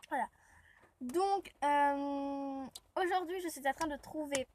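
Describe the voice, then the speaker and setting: A woman's voice: high-pitched, slow pace, a little mellow, slight background noise. A woman with a cold and a sore throat, chatting and rambling casually to her viewers from inside a car. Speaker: vlogger. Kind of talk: casual vlog chat. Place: inside a parked car, rain outside.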